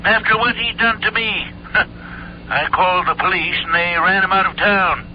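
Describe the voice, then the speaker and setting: An actor playing a selfish, sickly old uncle in a radio drama, querulous and smug. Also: male voice, high voice, normal speed, natural voice. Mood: indignant, gloating. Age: older. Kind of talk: 1970s radio drama acting. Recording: dull, noisy old radio recording.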